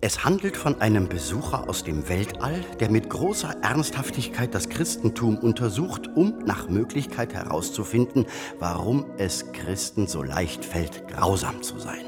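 An actor playing a bookish, wry hospital patient in a radio play, explaining things with dry opinions. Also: male voice, fairly low pitch, sing-song, measured pace, few pauses, over music. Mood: earnest, explaining. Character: bookish, wry male hospital patient, science fiction enthusiast.

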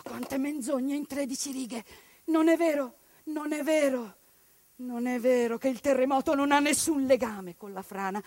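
A woman's voice: high voice, no room sound. A woman actress performing a theatrical civic monologue, indignant and declamatory, firing rhetorical questions.

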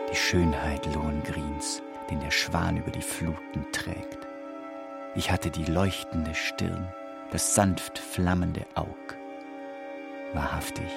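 Radio drama role: proud, melancholy fallen monarch, slow and gravelly, declamatory. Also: male voice, low voice, heavily acted. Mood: wistful, melancholy. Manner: grandiose, declamatory. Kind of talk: theatrical radio drama monologue.

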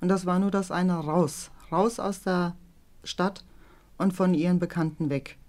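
A woman's voice: lowish voice, dark tone. A middle-aged woman giving a plain, earnest, colloquial account of her own experience in an interview. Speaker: interviewed mother. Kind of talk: interview recollection.

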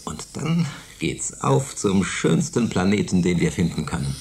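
Impulsive space pilot, swinging low voice. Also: male voice, big pitch swings, unhurried, a little mellow, a little hiss or hum, exaggerated voice. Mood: eager, persuasive. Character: Jan, impulsive, optimistic male space pilot plotting an escape. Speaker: radio drama actor. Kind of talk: German science fiction radio play acting.

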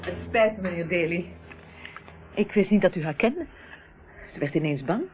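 A woman's voice: fairly low pitch, animated, moderate pace, slight background noise, lightly acted. An actress playing a polite, evasive married woman in a radio play, hesitant and defensive in manner. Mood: apologetic. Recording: muffled, narrow-band old radio recording.

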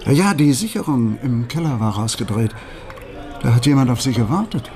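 An actor playing a polite, persistent police detective in a radio crime drama, probing in slightly hesitant officialese. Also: male voice, medium pitch, expressive, moderate pace, a little mellow, faint music behind, lightly acted.

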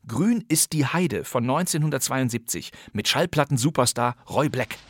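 A man's voice: mid-range voice, animated, normal speed. A man with pronunciation hosting a scripted radio podcast in a wry, emphatic way. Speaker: podcast host.

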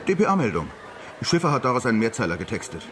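A man's voice: medium pitch, sing-song, quick pace, background noise, lightly acted. An actor playing an effusive, chummy male newspaper editor in a radio drama. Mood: matter-of-fact, defensive.

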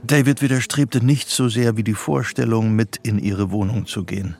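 An actor as the composed, measured narrator of a psychological thriller in a radio play, reading scripted prose soberly. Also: male voice, on the low side, fairly even intonation, creaky, darkish tone.

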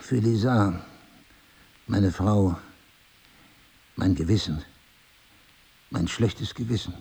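An actor playing a bewildered, skeptical husband with amnesia, wryly self-mocking and probing in a naturalistic relationship drama. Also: male voice, on the low side, dark tone, breathy edge, heavily acted. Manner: probing, sardonic, questioning. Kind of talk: two-hander relationship drama acting, naturalistic.

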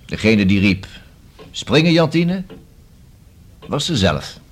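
Deep, husky police inspector. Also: male voice, very animated, full character voice. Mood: assured, deliberate. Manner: deliberate, rhetorical questioning with emphatic repeats. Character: probing, self-assured male police inspector, dry and insistent. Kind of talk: Dutch radio play acting.

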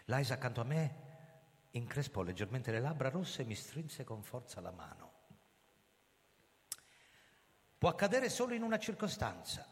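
An actor playing a weary, proud old police chief, telling his story in a theatrical, deliberate radio drama style. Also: medium pitch, sing-song. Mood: grave, quiet. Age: older. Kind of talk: dramatic radio play narration and dialogue over music. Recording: long hall reverb, loud music underneath. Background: loud music.

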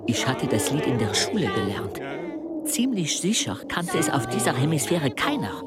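An actor playing an expedition member who narrates a mountain climb in a reflective, literary way for a radio play. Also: male voice, mid-range voice, big pitch swings, moderate pace, loud music behind the voice, natural voice. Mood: reflective, uneasy. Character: adult male expedition member recounting a dizzying mountain climb.

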